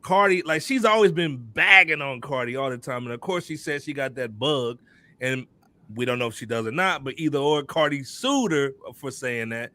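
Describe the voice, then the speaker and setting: A man with an American accent co-hosting a podcast in casual, slangy talk full of shout-outs. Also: mid-range voice, expressive, normal speed, no echo. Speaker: podcast co-host.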